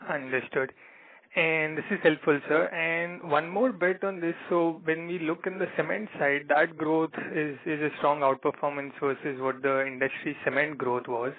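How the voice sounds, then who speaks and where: A man's voice: fairly high pitch, steady tone, normal speed, no echo. An Indian-accented man, an analyst, politely asking questions on an earnings call. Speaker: analyst calling in. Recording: dry, narrow phone line.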